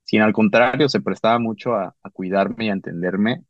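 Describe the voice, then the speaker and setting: A man's voice: fairly low pitch, brisk, no room sound, lo-fi sound. A man speaking earnestly and thoughtfully about his fiancée in a casual conversation. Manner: earnest, thoughtful, hesitant restarts. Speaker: guest, engaged to be married. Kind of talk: reflective casual talk.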